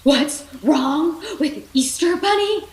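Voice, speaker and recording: A woman voicing an anxious, excitable male toy dinosaur in a storybook, panicky and dramatic. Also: sits high, takes it slowly, voice pushed up, heavily acted. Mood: panicked. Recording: roomy echo, background noise.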